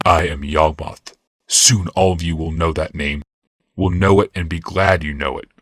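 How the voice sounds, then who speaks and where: A man voicing an arrogant, menacing warrior-healer in a fantasy audiobook, speaking with imperious, deliberate command. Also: extremely low pitch, steady tone, fairly bright tone, no echo, studio quality. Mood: arrogant menace.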